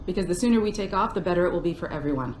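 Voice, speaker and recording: Brisk, friendly aircraft pilot for a game, played by a woman; lower register, confident, roomy sound. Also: lively intonation, a little mellow, a little hiss or hum, lightly acted. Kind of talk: video game cutscene acting. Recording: roomy echo, faint game ambience.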